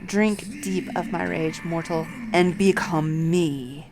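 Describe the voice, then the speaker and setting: A woman voicing a vengeful ancient dragon, reading archaic game dialogue aloud in a loud, declamatory way. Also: fairly low pitch, takes it slowly, noisy background. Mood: wrathful.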